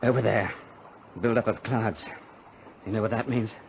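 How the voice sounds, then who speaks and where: A gruff determined castaway in a radio play, deep voice, lots of background noise. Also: male voice, fairly even intonation, normal speed. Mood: grim. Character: gruff, desperate but determined male castaway adrift at sea. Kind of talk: radio drama acting. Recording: noisy radio drama recording.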